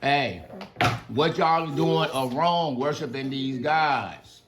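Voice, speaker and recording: A man's voice: fairly high pitch, slow, noisy background. A man with an American accent playing a confident prophet who issues an earnest, colloquial challenge in a live Bible story. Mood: challenging.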